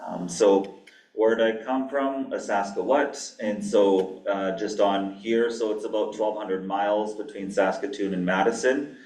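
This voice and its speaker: A man from Canada giving an academic lecture as a guest pharmacy professor, with a flat monotone delivery, dry asides and many ums. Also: lowish voice, unhurried. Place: lecture hall. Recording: echoey hall, mic far off.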